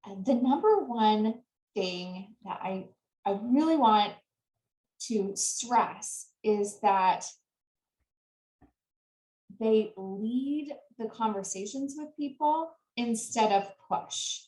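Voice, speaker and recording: A woman with a fairly high voice speaks as a sales coach in an interview. She explains earnestly and laughs easily. Her voice sounds roomy, with an echo around it. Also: lively intonation, slow, a little mellow. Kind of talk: interview answers. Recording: echoey room.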